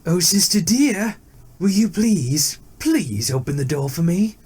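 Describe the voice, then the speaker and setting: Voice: medium pitch, expressive, unhurried, dark tone, slightly rough, voice pushed up. A storyteller voicing a hungry, sly young male tiger in a fairy tale, wheedling and insistent.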